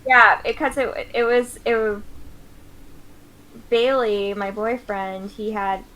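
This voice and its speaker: Bright-voiced young woman streamer. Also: fairly high pitch, level tone, takes it slowly, rough recording. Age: 20s. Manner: chatty, full of fillers. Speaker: streamer host. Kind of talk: casual chat while drawing on stream.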